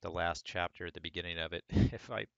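Low, gravelly man getting over a cold. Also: fast, no echo, lo-fi sound. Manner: relaxed, talks through each step as he works. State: hoarse from a cold, voice cracking. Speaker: 3D sculpting instructor. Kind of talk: screen-recorded software tutorial.

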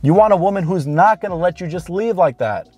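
Guy around 30, kinda higher voice, blunt bro-style coaching, faint music behind. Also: expressive, a little mellow, slightly rough. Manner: blunt, informal, emphatic with drawn-out key words. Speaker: dating coach. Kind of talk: direct-to-camera advice talk. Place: inside a parked car. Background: background music.

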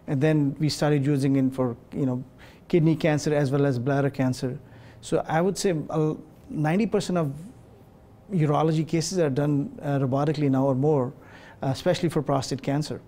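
A middle-aged man from Pakistan, a urologist, answering questions in a studio interview in a measured, explanatory way with many fillers, on a lapel mic. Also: on the high side, fairly even intonation, mellow. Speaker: urologist guest. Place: television studio set.

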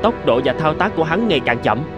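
Guy doing a cartoon sardine, cocky spiteful taunter, highish and super animated, music behind. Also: fast. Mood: scornful. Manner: mocking, gloating, theatrical. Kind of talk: animated fable voice acting.

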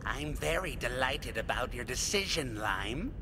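A man's voice: medium pitch, animated, unhurried, over loud music. A man playing a scheming villain doctor in an anime dub, politely menacing and mockingly courteous. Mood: smugly pleased. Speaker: voice actor in an English anime dub.

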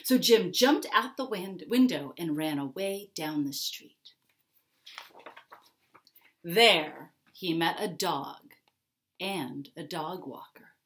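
Woman reading a picture book, unhurried. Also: lowish voice, animated, fairly bright tone. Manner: dramatic, with deliberate pauses and emphasis. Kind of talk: expressive children's story narration. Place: small furnished room at home, indoors.

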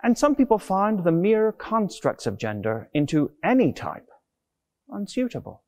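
A middle-aged man preaching a scripted sermon in a measured way, speaking into a lapel mic inside a church. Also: high voice, huge pitch swings. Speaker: preacher, Anglican clergyman. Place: inside a large stone church.

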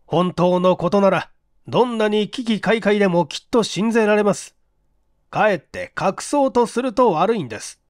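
Man acting a firm, high-pitched police chief. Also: normal speed, no room sound, clean studio sound, close to an everyday voice. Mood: gently coaxing. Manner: pressing, authoritative, formal questioning. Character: firm but kindly male police investigations chief. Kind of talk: dramatized audiobook reading.

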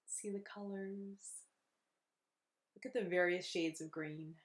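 A woman guides a meditation with a somewhat low voice and very level intonation. Her delivery is soothing and unhurried, offering gentle instructions to the listener. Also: steady tone.

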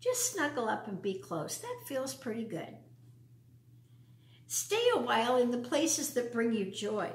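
Older American woman, slow, lilting storyteller. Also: fairly high pitch, big pitch swings. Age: 60s or older. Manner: simple, deliberate phrasing for young children. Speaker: storytime host reading children's books. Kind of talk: children's storytime talk and read-aloud. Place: living room at home, indoors. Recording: roomy echo.

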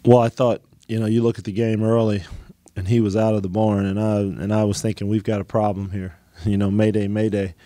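A middle-aged man coaching basketball, answering reporters after a game, halting, with many ums and restarts. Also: on the low side, level tone, dark tone, no room sound, studio quality. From United States. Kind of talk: post-game press conference answers.

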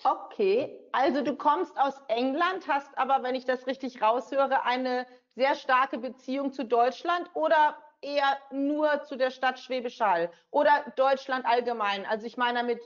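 A middle-aged woman speaking conversationally as an interviewer and language teacher. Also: high-pitched. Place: indoor room. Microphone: computer mic on video call. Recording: dull, lo-fi video call.

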